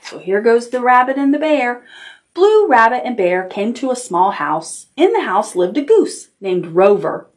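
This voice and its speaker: Unhurried American woman leading toddler storytime. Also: on the high side, big pitch swings. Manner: simple questions and prompts addressed to small children. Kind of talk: sing-song storytime, reading a picture book aloud to toddlers.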